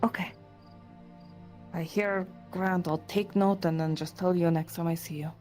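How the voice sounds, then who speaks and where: A woman playing a sweet, caring, playful young woman in a live fantasy roleplay, answering briefly and softly. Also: on the low side, fairly even intonation, measured pace, faint music behind, lightly acted. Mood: gentle, caring.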